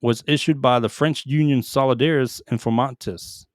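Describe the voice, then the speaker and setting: Male podcast co-host, mid pitch, reads news and tosses in offhand remarks, dry close-mic sound. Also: fairly even intonation, normal speed, dry recording. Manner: reads headlines aloud with asides and stumbles on names. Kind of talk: casual gaming podcast chat.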